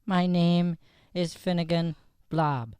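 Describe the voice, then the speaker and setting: A meek, jittery new schoolboy played by a man in an improvised radio comedy. The voice is very clear and smooth, recorded dry, with hesitant, apologetic, stammering delivery. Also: on the high side, steady tone, takes it slowly, darkish tone, dry recording.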